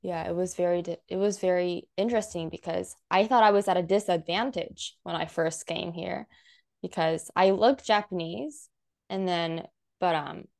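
A woman's voice: on the low side, normal speed, vocal fry, a little mellow. A young woman from the United States, a content creator chatting as a guest, rambling with lots of "like" fillers and laughing often. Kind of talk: casual chat.

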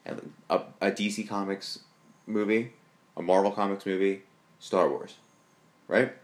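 A man co-hosting a podcast, chatting casually and enthusiastically about films, with a deep voice and lots of fillers. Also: fairly even intonation, unhurried. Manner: rambling, enthusiastic fan talk with fillers. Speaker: podcast co-host. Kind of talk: casual chat.

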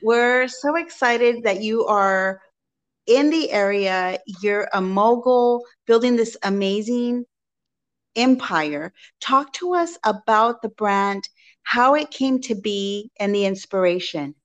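A woman's voice: a little high, animated, slow pace. Upbeat woman host asking questions. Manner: upbeat and welcoming. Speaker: podcast host. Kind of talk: interview questions.

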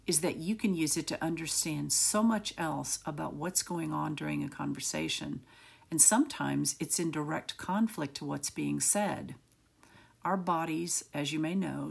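A middle-aged woman hosting a solo podcast, with a raspy, gravelly voice and a rapid, slightly manic delivery. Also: sits low. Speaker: podcast host.

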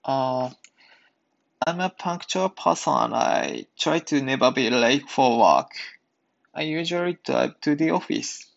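Slow, creaky-voiced man. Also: mid-range voice, animated. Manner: halting, careful learner's English. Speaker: podcast host practicing English. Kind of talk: reading aloud practice and simple podcast talk. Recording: dull lo-fi sound.

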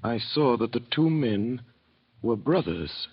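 An actor playing a despairing imprisoned physician in a 1930s radio drama, speaking in a grave, measured, melancholy way. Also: male voice, mid-range voice, expressive, a little hiss or hum. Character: despairing imprisoned French physician narrating his grim past. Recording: dull lo-fi vintage radio recording.

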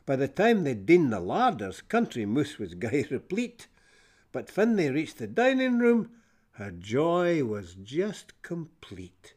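Animated Scottish storyteller reading verse. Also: male voice, medium pitch, takes it slowly. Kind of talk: expressive reading of rhyming fables in Scots.

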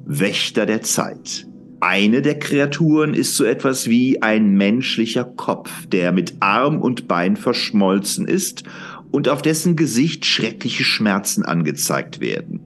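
A man's voice: lowish voice, lively intonation, slow, soft background music. A man narrating a scripted audio drama as storyteller.

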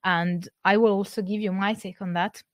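A woman talks through scholarly sources in a careful, measured lecture. Her voice sits in a middling range, neither high nor low. The sound is dry, with almost no room around it. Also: fairly even intonation, no echo. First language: Italian. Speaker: presenter. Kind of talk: academic lecture.

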